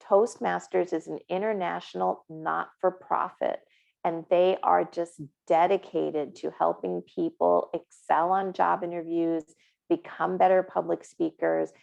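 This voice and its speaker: A woman's voice: fairly low pitch, creaky, darkish tone, lo-fi sound. A woman presenting a career workshop in an encouraging, upbeat way. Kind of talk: online career workshop talk.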